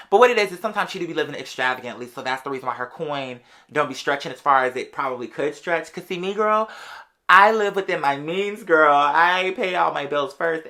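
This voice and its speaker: A bright, forceful American voice of someone in their late twenties, pitched in an in-between register. The delivery is casual and gossipy, full of slang and sassy interjections, like confiding in a close friend. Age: late 20s. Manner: conversational and sassy, heavy slang, often interjecting "girl".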